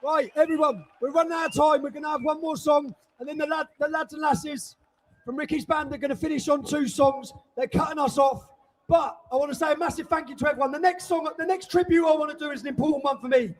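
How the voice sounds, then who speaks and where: Brisk male compere on an outdoor rally stage, very high and strained, loud and direct with the crowd. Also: fairly even intonation. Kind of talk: shouted rally announcements.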